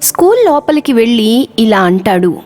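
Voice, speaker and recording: Woman reading a kids story, kinda high, steady, music behind. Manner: measured storytelling. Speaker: narrator. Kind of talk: scripted narration of a children's story.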